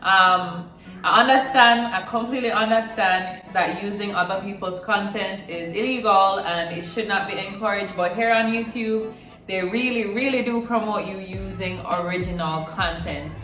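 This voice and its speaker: Bright Jamaican woman vlogging. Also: mid-range voice, moderate pace, faint music behind. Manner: chatty, emphatic, repeats words for stress. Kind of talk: casual talk to camera. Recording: roomy echo.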